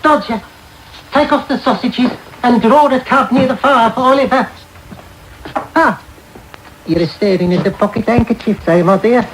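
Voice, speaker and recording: A man's voice: very high voice, moderate pace, long pauses, a bit bright. An actor playing a sly, greedy old crook in a radio drama, fawning and muttering as he repeats his phrases. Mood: oily, welcoming. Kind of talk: 1990s radio drama acting. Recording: noisy medium-wave radio broadcast taped off air.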